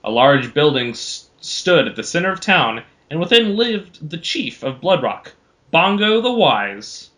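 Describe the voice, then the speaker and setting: A man's voice: a little high, big pitch swings, measured pace, a bit bright. A man hosting a podcast, narrating a homemade adventure story in an informal style. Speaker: podcast host. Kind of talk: animated amateur story narration.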